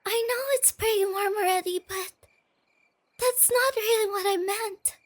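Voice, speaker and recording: A woman playing a shy, nerdy, easily flustered young girlfriend in a soft-spoken ASMR roleplay, with a girlish, hesitant, stammering delivery. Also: very high-pitched, steady tone, unhurried, dry recording. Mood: nervous, sweet. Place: close dry recording with night insects behind.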